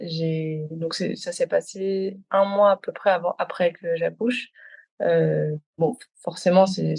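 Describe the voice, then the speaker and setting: A woman's voice: on the low side, mellow. A woman of thirty-one speaking casually as a podcast guest, giving a personal testimony in conversation.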